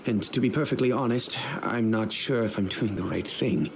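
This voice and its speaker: An actor playing a grave middle-aged father and head of a noble family, speaking in a measured, solemn, storytelling way over game music. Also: on the low side, creaky, a little hiss or hum.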